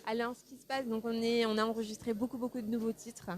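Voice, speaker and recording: A woman's voice: on the high side, flat delivery, fast. A woman who grew up in France, a singer and songwriter, answering interview questions in a thoughtful, easygoing way with easy laughs. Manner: thoughtful, warm, laughs easily.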